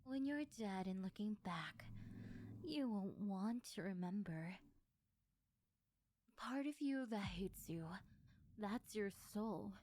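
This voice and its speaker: Woman acting a slow, whispery, possessive demon lover. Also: mid-range voice, expressive, a little mellow, slight background noise. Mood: eerie, intimate. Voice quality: breathy, often dropping into whispers. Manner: slow, teasing, menacingly intimate. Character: possessive, taunting female sleep paralysis demon, yandere lover. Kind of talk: ASMR roleplay monologue. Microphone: close ASMR microphone.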